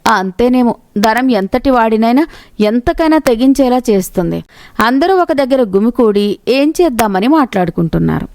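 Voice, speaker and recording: A woman narrates a moral tale from a script. Her voice sits a little high. The sound is dry, with very little room on it. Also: animated, normal speed, a little mellow, no echo. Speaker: storyteller narrator.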